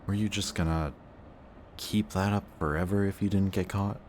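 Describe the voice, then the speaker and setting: A man playing a wounded, protective antihero who insists he is a villain, in an emotional, intimate audio roleplay with a halting delivery. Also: deep voice, fairly even intonation, darkish tone, slight background noise, natural voice. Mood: hurt, reproachful. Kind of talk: emotional audio roleplay acting, addressing the listener.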